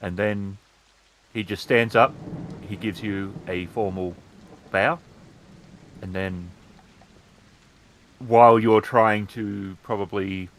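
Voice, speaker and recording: A man improvising the narration of a tabletop roleplaying game in measured, halting phrases. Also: on the low side, steady tone, a little hiss or hum, poor audio.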